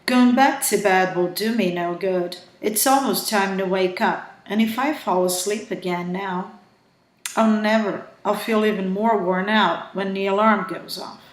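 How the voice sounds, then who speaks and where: A woman with a Brazilian Portuguese accent voicing the tired inner thoughts of a weary young woman after a nightmare, quiet in manner. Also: mid-range voice, steady tone, unhurried, natural voice. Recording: roomy echo.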